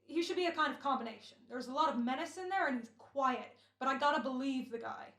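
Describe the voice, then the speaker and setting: A woman playing a brisk, fussy male radio director in an old-time drama, bossy and thinking aloud. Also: high voice, expressive, fairly bright tone. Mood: businesslike, fussy. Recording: echoing room, home recording.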